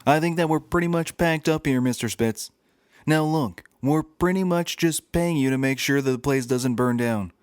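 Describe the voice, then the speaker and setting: An actor playing a prim, fussy motel manager with a formal, disapproving manner in a scripted comedy audio drama. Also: male voice, fairly high pitch, moderate pace, smooth, dry recording, clean studio sound, natural voice. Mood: exasperated.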